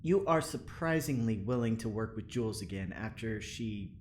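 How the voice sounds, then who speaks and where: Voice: medium pitch, animated, moderate pace, a little mellow, slight background noise, close to an everyday voice. An actor playing a mild-mannered scientist in an audio drama, tentative, polite and understated. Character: hesitant, mild-mannered scientist assisting an experiment. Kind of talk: scripted audio drama acting.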